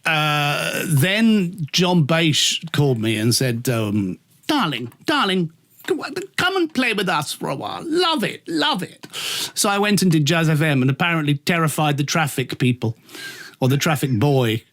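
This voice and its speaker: Camp British male raconteur, higher register, highly animated, theatrical anecdotal chat. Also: moderate pace. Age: middle-aged or older. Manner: camp, theatrical, acts out quoted dialogue. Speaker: radio presenter, interview guest.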